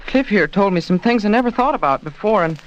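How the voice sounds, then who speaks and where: A man's voice: high-pitched, expressive, fast. A male actor playing a troubled, defiant but remorseful American schoolboy in an old-time radio drama. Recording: noisy, dull old radio recording.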